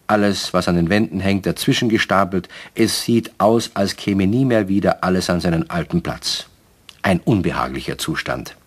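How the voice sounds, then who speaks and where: A man narrating a children's radio play as a calm storyteller who speaks directly to young listeners. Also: low-pitched.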